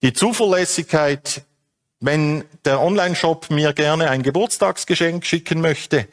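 A man explains a legal and technical topic step by step, with frequent hesitations. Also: on the high side. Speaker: speaker on data protection. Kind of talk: explanatory talk.

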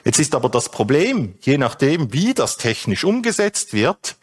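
A man's voice: mid-range voice, big pitch swings, normal speed, breathy edge. A man explains a legal and technical topic step by step, with frequent hesitations. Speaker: speaker on data protection. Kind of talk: explanatory talk.

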